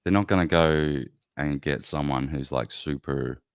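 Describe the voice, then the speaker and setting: Man, extremely deep voice, relaxed host, agreeable, casual chat. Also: measured pace, smooth, no room sound, studio quality. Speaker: podcast host. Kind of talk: casual conversation.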